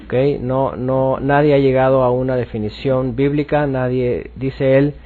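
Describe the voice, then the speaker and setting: Guy teaching a bible class, kinda halting, repeats himself, thin phone-like muffled audio. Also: medium pitch, flat delivery. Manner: halting, repetitive, explanatory. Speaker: religious teacher.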